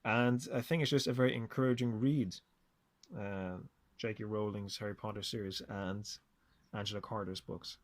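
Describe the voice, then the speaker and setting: A man's voice: lowish voice, steady tone, moderate pace. A man hosting a podcast, talking casually as he gives recommendations and introductions. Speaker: podcast host.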